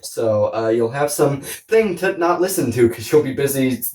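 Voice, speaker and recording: A man's voice: medium pitch, lively intonation, moderate pace, darkish tone. A man co-hosting a podcast in casual chat, rambling and joking, with profanity and self-deprecating humor. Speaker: podcast co-host. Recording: echoey room, distant from the mic.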